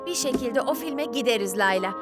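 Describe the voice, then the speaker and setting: A woman as a high-voiced eager schoolgirl. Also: animated, brisk, over loud music, natural voice. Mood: enthusiastic. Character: polite, enthusiastic cartoon schoolgirl. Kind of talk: cartoon dub acting.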